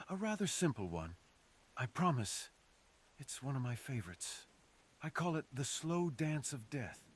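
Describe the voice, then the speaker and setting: A man's voice: on the low side, a little hiss or hum. A man playing a fox assassin in an ASMR roleplay, speaking theatrically and intimately to the listener, savoring his phrases. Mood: menacing, savoring. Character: menacing, sadistic male fox assassin with a remorseful streak. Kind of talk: ASMR roleplay, close dramatic monologue to the listener.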